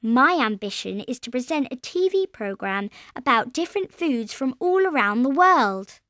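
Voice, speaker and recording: A 12 yr old foodie girl, high lively voice, slow and careful. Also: dry recording, clean studio sound. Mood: friendly. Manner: slow, clear, careful delivery. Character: creative twelve-year-old girl who loves food. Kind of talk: scripted coursebook audio. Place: recording studio.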